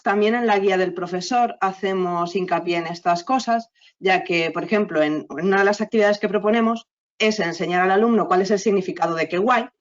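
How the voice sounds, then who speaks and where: A woman with a lowish voice explains a textbook's approach at length, leaning on small fillers as she goes. The sound is dull and muffled, like a video call. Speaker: textbook co-author. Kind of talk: online panel talk.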